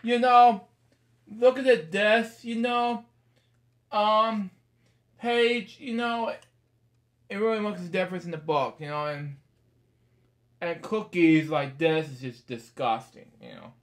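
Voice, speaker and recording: A man's voice: high-pitched, takes it slowly, long pauses. A man in his thirties reading a picture book aloud in a small bedroom, rambling with casual asides and stock phrases.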